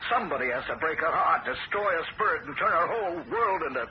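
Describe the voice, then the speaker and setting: A man's voice: a little high, expressive, fast talker, natural voice. An actor playing an earnest, loyal man who narrates his own story and argues indignantly, stammering when upset, in a 1970s radio drama. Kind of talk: first-person radio drama narration and dialogue. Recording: muffled old radio broadcast with hiss.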